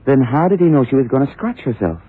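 An actor playing a detective's younger male sidekick, eager and puzzled, questioning haltingly in vintage radio drama style. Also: medium pitch, big pitch swings, slightly rough. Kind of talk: 1960s-70s radio drama acting. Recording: muffled, narrow-band vintage radio recording.

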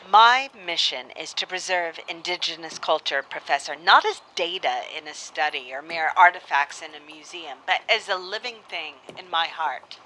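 A solemn woman storyteller speaks for a radio drama with proud, deliberate weight. Her voice is bright and firm, with a faint noise of an old cylinder recording. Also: deep voice, lively intonation, rough recording. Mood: proud, resolute.

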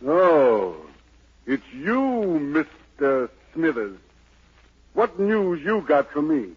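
An actor playing a haughty, commanding island emperor in a 1940s radio drama. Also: male voice, big pitch swings, extremely slow, breathy edge, heavily acted. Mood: condescending. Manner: imperious, booming. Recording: dull old 1940s radio broadcast.

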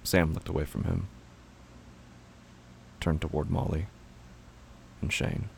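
Deep creaky-voiced man narrating. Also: little pitch movement, slow pace, darkish tone, a little hiss or hum. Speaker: podcast creator and narrator. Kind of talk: scripted podcast announcements and horror narration.

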